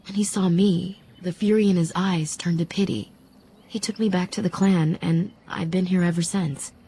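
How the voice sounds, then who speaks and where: A woman playing a wise, composed elf apprentice in a fantasy video game, speaking in a calm, reflective, formal way. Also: on the low side, normal speed, husky. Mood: haunted, intense. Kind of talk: fantasy video game acting, measured storytelling.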